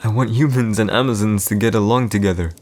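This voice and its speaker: A man's voice: fairly low pitch, quick pace, a little mellow, lightly acted. A man playing a haughty, teasing villain emperor in an ASMR audio roleplay, with formal, condescending, old-fashioned diction. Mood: earnest, solemn.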